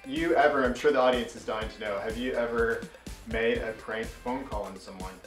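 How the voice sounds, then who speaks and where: A man's voice: mid-range voice, normal speed, faint music behind. Roomy-sounding man in casual chat. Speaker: co-host of a comedy trivia show. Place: small furnished room indoors. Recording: roomy echo, slightly distant mic.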